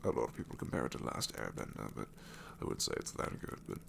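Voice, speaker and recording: A man voicing a sassy male shadow demon in an ASMR roleplay, snarky and rambling with soft whispered asides. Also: a bass voice, very animated, fast talker, creaky, slight background noise, heavily acted.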